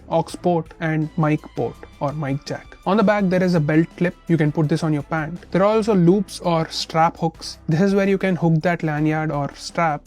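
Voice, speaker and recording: A man's voice: a little high, a little mellow. A man narrating a tech product review as the reviewer.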